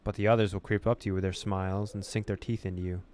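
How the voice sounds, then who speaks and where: A man's voice: sits low, flat delivery, fast, darkish tone, natural voice. A man voicing a sly, teasing fox girl from a horror visual novel, cryptic and taunting. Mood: ominous. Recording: noticeable background noise.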